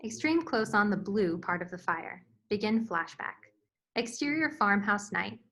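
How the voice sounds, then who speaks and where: A woman's voice: mid-range voice, lively intonation, measured pace, pushed and bright, a little hiss or hum. A woman reading screen directions as the narrator, in scripted narration style.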